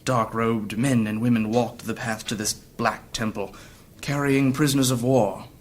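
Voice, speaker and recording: A man's voice: medium pitch, steady tone, measured pace, natural voice. A man playing a wounded, earnest adventurer who tells his story courteously and formally in a fantasy audio drama. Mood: intense.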